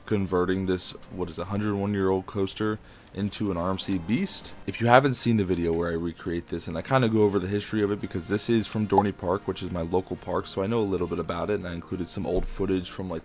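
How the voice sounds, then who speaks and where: A young man with a sore throat and a raspy voice, chatting casually as he builds in a game. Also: low voice, a little mellow. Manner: chatty, enthusiastic, frequent fillers. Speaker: game video creator. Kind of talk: casual commentary while building.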